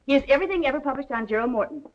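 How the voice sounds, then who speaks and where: An actress playing a feisty, wisecracking young sidekick in a 1930s film, sassy and impatient. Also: female voice, high-pitched, lively intonation, racing. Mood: impatient, teasing. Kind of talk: 1930s Hollywood film acting. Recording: noisy, dull 1930s film soundtrack.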